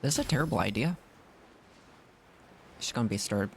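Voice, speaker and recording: A man's voice: mid-range voice, animated, normal speed, creaky, a little hiss or hum. A man doing casual live game commentary, talking to himself and reacting as he plays. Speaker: game streamer.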